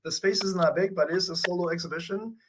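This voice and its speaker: A man's voice: sits high, fairly even intonation, fast, low-quality recording. A man being interviewed about his art, speaking in a thoughtful, reflective way with frequent fillers and restarts. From Canada. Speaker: artist interviewee. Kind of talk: conversational interview.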